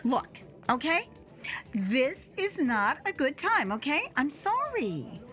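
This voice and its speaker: A woman acts a polite, reluctant young woman in an audio drama. She speaks in flustered, halting bursts with animated pitch. Light music sits underneath. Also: heavily acted. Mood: pleading, uncomfortable. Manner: hesitant, halting.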